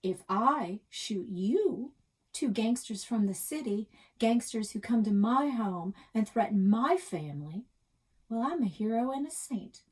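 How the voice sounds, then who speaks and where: Woman reading as a fearless husky-voiced little mother. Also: on the high side, expressive, measured pace, darkish tone. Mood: coolly threatening. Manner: firm, defiant, emphatic. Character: tiny, fearless, devout mother facing down gangsters.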